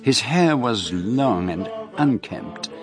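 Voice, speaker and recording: Foreign gentleman sleuth for radio drama, formal and exact, polite, mid-range voice. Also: medium pitch, lively intonation, takes it slowly, music in the background. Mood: observant, narrating. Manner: precise, formal. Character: courteous, observant foreign gentleman detective. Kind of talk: radio drama acting and narration.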